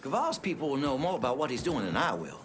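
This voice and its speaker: A nervous male office clerk played by an actor in a crime game, his pitch moving up and down a lot over faint noise. He sounds guilty and hesitant, pleading his case. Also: big pitch swings, fast talker, lightly acted. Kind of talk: video game dialogue.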